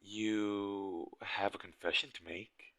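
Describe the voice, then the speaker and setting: A man's voice: fairly low pitch, fairly even intonation, slow pace, a bit bright, no room sound. A man playing a shy, smitten game developer on a date in a romantic audio roleplay, speaking nervously and hesitantly straight to the listener. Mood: surprised, fond. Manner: awkward, nervous, hesitant and stammering.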